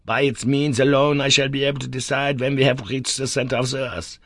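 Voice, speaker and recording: An eccentric elderly professor full of unnatural glee, as a man reads him aloud. His voice leaps well above the reader's own into bright exclamation, recorded dry and close. He sounds eager and excitable in a spoken storybook. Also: a little fast, dry recording. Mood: gleeful. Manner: laughs, exclaims with high glee.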